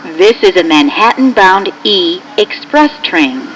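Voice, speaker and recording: An American woman reading scripted, automated train announcements in a formal, measured manner, with clearly segmented phrases. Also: medium pitch, expressive. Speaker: recorded subway train announcer. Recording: noisy capture from train loudspeaker. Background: subway train ambience.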